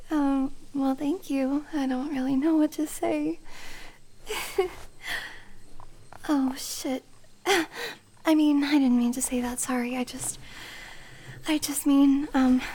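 A woman's voice: high voice, mellow, slight background noise. A woman voicing a shy, flustered young female elf in an audio roleplay, talking nervously with stammers, apologies, whispers and giggles.

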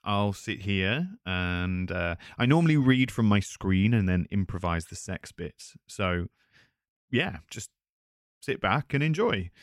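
A man plays a chatty erotic-audio performer in a casual roleplay aimed at the listener. His pitch moves around a lot, and he sounds self-conscious and hesitant, in a very dry recording. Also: animated, slow pace, dry recording. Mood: chatty, nervous. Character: chatty, nervous erotic-audio voice actor hosting a visitor.

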